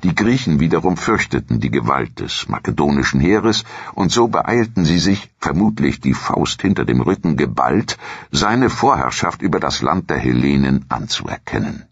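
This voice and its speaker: A man narrating a scripted history documentary audiobook in a measured, formal reading manner. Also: a bass voice, very animated, slightly rough, dry recording, highs cut off. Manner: measured, formal read delivery.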